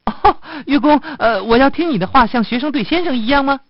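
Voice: sits high, expressive, fairly quick, no echo, dull audio, voice pushed up. A storyteller voicing an eager, naive, obedient little rabbit from a fairy tale, sweet and polite.

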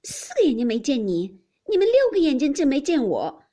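A woman's voice: sits high, wildly swooping pitch, normal speed, mellow, pitched above the performer's own voice. Woman voicing a teasing playful young master.

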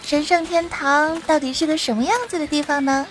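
A woman as a high-pitched feisty adventurer girl. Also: steady tone, a little fast, background noise, lightly acted. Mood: curious, chatty. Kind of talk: audio drama acting.

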